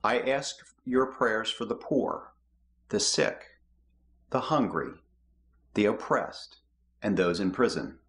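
A middle-aged man reading the prayers of the people in a measured, steady way from his home office. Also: fairly low pitch. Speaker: lay prayer leader.

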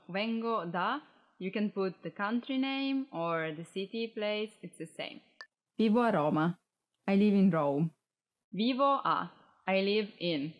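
A woman's voice: medium pitch, lo-fi sound. A woman in her early twenties with an Italian accent, a native Italian speaker, teaching a language lesson to camera. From Italy. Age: early 20s. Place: indoors, in front of a flag backdrop.

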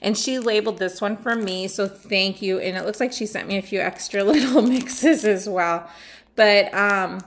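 A middle-aged woman chatting casually as she unboxes a swap package at home, rambling and thinking out loud, her voice a little worn as she comes down with something. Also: medium pitch, normal speed, a little hiss or hum. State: losing her voice, getting sick. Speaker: nail art swap host. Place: sunny room at home by a window.

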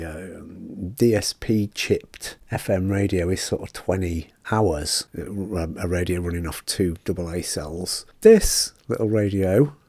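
Low, mellow British man, dry sound. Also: no echo. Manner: informal, conversational. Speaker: product reviewer. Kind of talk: casual spoken product review.

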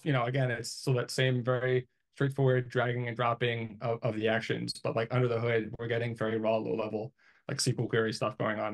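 Man, thirties, frequent vocal fry, middle pitch, conversational interviewee, hesitant explainer, video call. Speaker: interviewee, IT engineer. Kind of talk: casual explanatory interview talk. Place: small home office, indoors.

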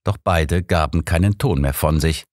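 A man narrating a scripted audiobook story in the first person, expressive and measured. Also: very deep voice, no room sound, clean studio sound.

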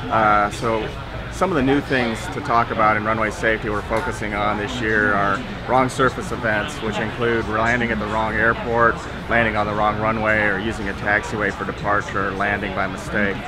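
Middle-aged american guy, lowish voice, explaining safety stuff, loud crowd behind him. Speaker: aviation safety official explaining runway safety. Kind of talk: informal briefing to camera. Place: exhibit booth in a large indoor hall. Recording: busy exhibit hall crowd noise.

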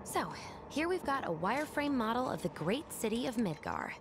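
A woman's voice: medium pitch, lightly acted. A woman playing a perky, teasing young rebel tech expert in a video game, explaining things playfully. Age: young adult. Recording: loud music behind the voice.

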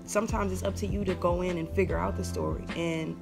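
A woman's voice: on the low side, fairly even intonation, fast talker, vocal fry, over music. A woman from Houston, a student reflecting in a thoughtful, conversational way on making a documentary. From Houston, Texas. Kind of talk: reflective testimonial.

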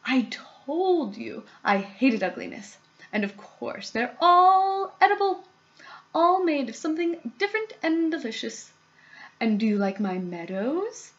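A woman's voice: mid-range voice, unhurried, creaky, few pauses, muffled recording. A woman voicing an eccentric, exuberant male candy factory owner, boastful and theatrical, in a storybook reading. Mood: gleeful, showy. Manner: theatrical, emphatic, delighted exclamations.

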